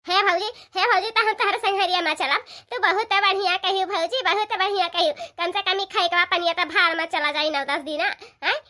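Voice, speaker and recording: An actor voicing an excitable village woman in a cartoon comedy, with a childlike, sped-up voice. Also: very high-pitched, normal speed, hardly any pauses. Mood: eager, doubting. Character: animated village women, sisters-in-law celebrating a festival, excitable.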